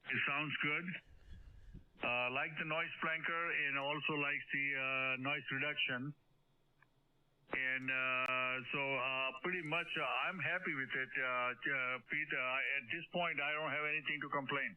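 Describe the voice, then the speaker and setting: A man on a ham radio net, chatting casually and haltingly, with many fillers and repeated words. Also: mid-range voice, steady tone. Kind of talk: casual on-air radio chat. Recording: dull radio audio with clear static.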